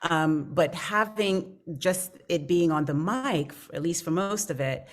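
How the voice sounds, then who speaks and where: Low-voiced woman, earnest panel guest. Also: lively intonation, unhurried. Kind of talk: conversational panel answer.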